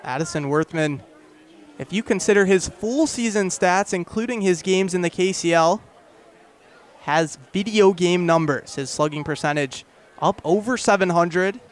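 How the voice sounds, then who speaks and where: High-voiced young man calling baseball. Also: normal speed, some chatter behind. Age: young adult. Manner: clipped phrases, building to excited calls. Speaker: baseball play-by-play announcer. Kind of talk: live radio sports commentary.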